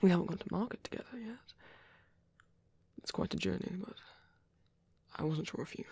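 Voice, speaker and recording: Breathy, creaky, gentle vampire priest acted by a man. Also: high voice, normal speed, heavily acted. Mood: hesitant, caring. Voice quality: breathy, soft, often dropping to a whisper. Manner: hesitant, reassuring, tender. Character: gentle, caring male vampire priest, protective and reclusive. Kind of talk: ASMR roleplay, soft intimate monologue.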